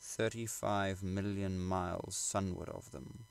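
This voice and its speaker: A man reading literary prose aloud as an audiobook narrator, in a measured, formal and dramatic way. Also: low voice, steady tone, creaky, smooth. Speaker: audiobook reader.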